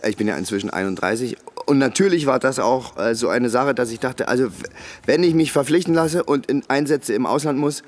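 A man acts as an insecure soldier of about thirty in a radio play. His mid-pitched voice stumbles through hesitations and fillers. He sounds awkward and unsure of himself. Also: a little fast, dry recording, natural voice. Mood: embarrassed, hesitant. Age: 30s. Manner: hesitant, stammering, oversharing. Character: awkward, insecure young soldier, boat driver, aged thirty-one. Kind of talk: radio drama dialogue.

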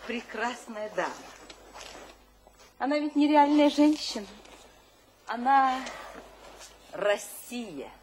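An actress playing an imperious, fervently mystical literary salon hostess in an audio drama, with theatrical declamation and dramatic pauses. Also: female voice, fairly high pitch, slight background noise. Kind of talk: audio drama stage acting.

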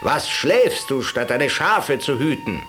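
A man voicing an imperious, furious Caliph in a radio-play fable, loud, declamatory and menacing. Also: medium pitch, sing-song, takes it slowly, music in the background. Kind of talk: storytelling within a radio play.

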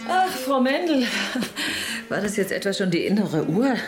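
A woman's voice: medium pitch, sing-song, moderate pace, music in the background, close to an everyday voice. An actress playing an intellectual woman tourist in a radio drama, with a dry and critical manner. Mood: friendly.

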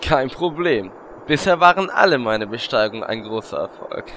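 A man's voice: medium pitch, animated, normal speed, fairly bright tone, close to an everyday voice. A man playing a whiny, lewd, quarrelsome hero in a fantasy parody audio drama, prone to exaggerated complaining. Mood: cocky, sheepish. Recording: background noise.

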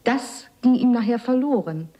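A woman's voice: on the high side, expressive, normal speed, warm tone. A woman speaking informally and explaining something from memory in a conversational way. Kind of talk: informal spoken recollection in an audio collage. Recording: dull lo-fi tape, music behind.

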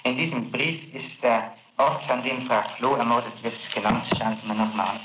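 A man serving as a prosecutor in an interrogation, speaking formally and haltingly with many fillers and tag questions, heard on an old archival tape. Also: medium pitch. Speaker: public prosecutor questioning a suspect. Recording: echoey muffled old tape with hiss.

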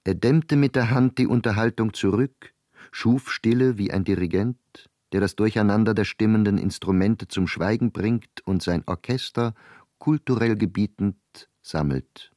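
Close, dry, lowish-voiced male narrator. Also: moderate pace, warm tone, dry recording. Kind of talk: literary scripted narration in a radio drama.

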